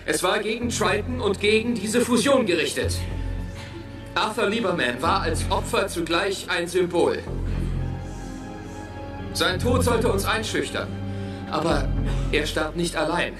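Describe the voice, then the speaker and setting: Earnest company boss giving a solemn speech, highish voice, big echoey room, music behind. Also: male voice, expressive, a little slow, long pauses, distant mic. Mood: impassioned, resolute. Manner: formal, solemn, declamatory. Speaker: company executive. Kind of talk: formal speech in a radio play.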